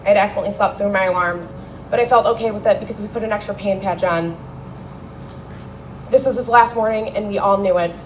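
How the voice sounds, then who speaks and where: A young woman giving a memorial speech for her father into a handheld mic, telling the story with warmth and dry humor. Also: medium pitch, quick pace, slight background noise, low-quality recording. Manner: heartfelt, wry storytelling. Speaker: daughter giving a eulogy speech. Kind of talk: memorial speech read aloud. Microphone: handheld microphone.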